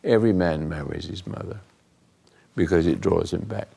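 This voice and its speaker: An older man hosting a talk, giving rambling, earnest moral teaching to a live group, often dropping into hushed asides. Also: deep voice, moderate pace. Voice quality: gravelly, husky. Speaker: host and spiritual counselor.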